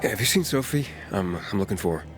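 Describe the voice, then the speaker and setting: An actor playing a calm young wandering pilgrim in a video game, talking in a casual, guarded, matter-of-fact way. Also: male voice, fairly low pitch, quick pace, music in the background, lightly acted. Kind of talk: naturalistic video game dialogue.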